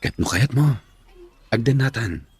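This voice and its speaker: A man's voice: medium pitch, lively intonation, unhurried, husky. A man playing an earnest, caring young office worker and suitor in an Ilocano radio drama, speaking expressively. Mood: concerned, tender.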